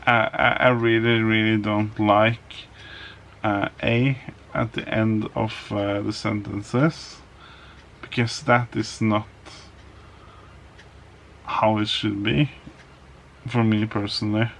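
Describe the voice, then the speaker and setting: Slow, flat-voiced Norwegian man. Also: on the low side, vocal fry, a little hiss or hum. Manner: hesitant, many ums and restarts. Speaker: vlogger. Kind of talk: casual vlog monologue.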